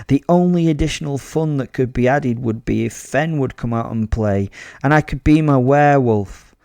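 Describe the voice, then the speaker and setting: Male werewolf killer played by a man narrating horror drama: dark mellow tone, gravelly fry, theatrical, crude and gleefully sardonic, dry close sound. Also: mid-range voice, dry recording. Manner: sardonic, relishing, theatrical, sweary. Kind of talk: first-person dramatic horror storytelling.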